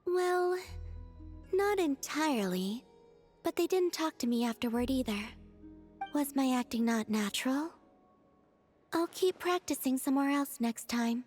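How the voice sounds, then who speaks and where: Soft airy moon goddess in a game, highish voice, gentle n kinda naive, talks formal and measured. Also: female voice, lively intonation. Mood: innocent, sincere. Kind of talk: video game character acting. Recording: faint music behind the voice. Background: game music.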